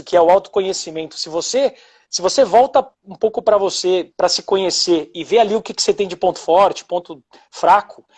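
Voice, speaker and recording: A husky-voiced man giving advice. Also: high voice, darkish tone. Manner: thoughtful and reflective, with frequent hesitations. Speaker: pilot, show host. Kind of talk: casual live video chat. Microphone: video call.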